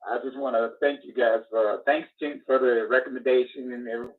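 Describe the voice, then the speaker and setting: Older male caller in his sixties, halting and chuckling, heard over a thin phone line. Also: medium pitch, fairly even intonation. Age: 60s. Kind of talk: phone-in chat.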